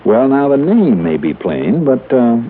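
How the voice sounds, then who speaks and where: An American actor playing a brisk, self-assured male insurance investigator in a mid-century radio drama. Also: medium pitch, very animated, slight background noise. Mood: flirtatious. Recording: tinny old radio broadcast, music.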